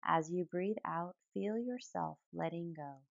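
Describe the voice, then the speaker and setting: A woman guiding a yoga nidra meditation in calm, gentle phrases with pauses between them. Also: lowish voice, creaky, dry recording, dull audio. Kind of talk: guided relaxation meditation, soothing instruction.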